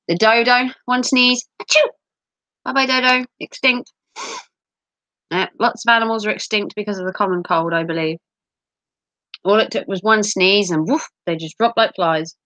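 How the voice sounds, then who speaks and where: A woman from the UK with a cold, wheezy and clearing her throat, chatting casually and rambling on a livestream with self-mocking jokes. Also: medium pitch, sharp tone, no room sound. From United Kingdom. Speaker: livestream host. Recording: dull lo-fi sound.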